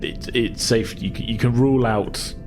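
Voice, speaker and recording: A man improvising as game master in a tabletop game, explaining things in a halting, chatty way. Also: mid-range voice. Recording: music playing behind the voice. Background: background music.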